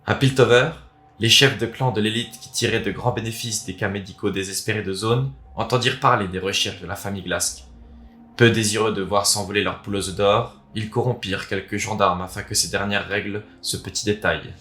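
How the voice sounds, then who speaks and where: Low-voiced Frenchman in an echoey room. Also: steady tone, fairly quick, sharp tone. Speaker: video host. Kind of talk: casual video introduction, then expressive reading of a written story. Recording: roomy echo, music underneath. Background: background music.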